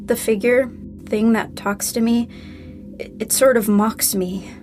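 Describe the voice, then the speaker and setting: A woman's voice: on the high side, steady tone, measured pace, darkish tone, faint music behind, close to an everyday voice. An actress playing a troubled, grieving daughter in an audio drama, a young woman with a guarded, moody way of talking. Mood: guarded, uneasy. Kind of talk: naturalistic audio drama acting.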